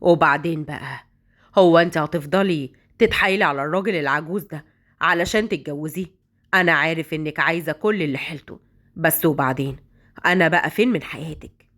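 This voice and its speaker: A woman's voice: low-pitched, fast talker, a bit bright, pitched below the performer's own voice. A woman voicing a scheming young man with an Egyptian accent who sweet-talks his lover. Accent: Egyptian Arabic. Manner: smooth, scheming.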